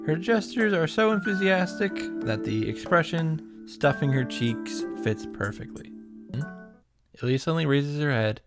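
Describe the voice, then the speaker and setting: A man's voice: fairly high pitch, expressive, moderate pace. A man reading visual novel narration aloud and adding casual commentary, as host of a game reaction series. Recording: music behind the voice. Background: game music.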